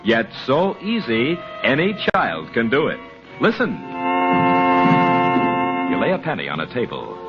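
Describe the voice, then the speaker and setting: A man's voice: medium pitch, wildly swooping pitch, measured pace, pauses often, over loud music. A male announcer reading an old-time radio commercial with showy salesman patter. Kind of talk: vintage radio commercial read. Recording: muffled vintage radio recording.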